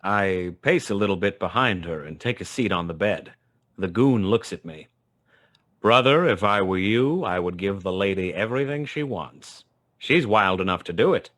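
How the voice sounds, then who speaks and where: A man's voice: deep voice, lively intonation, moderate pace, a bit bright. An actor playing a wry, terse private detective who narrates his own case in a noir radio play. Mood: cool, pressing. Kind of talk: 1940s noir radio play acting, first-person narration.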